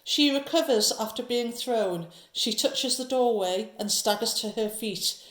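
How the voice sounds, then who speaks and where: A woman's voice: fairly high pitch, unhurried, fairly bright tone, echoey room, poor audio. A woman narrating a horror audio drama from a script, describing each scene.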